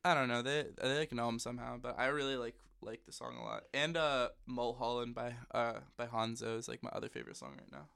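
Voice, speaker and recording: Gravelly, laid-back young rapper guy. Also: mid-range voice, expressive, brisk, hardly any pauses, a bit bright. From United States. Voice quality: slightly hoarse, raspy. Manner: laid-back, slangy, swears often. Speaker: rapper guest. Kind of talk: casual podcast chat. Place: recording studio.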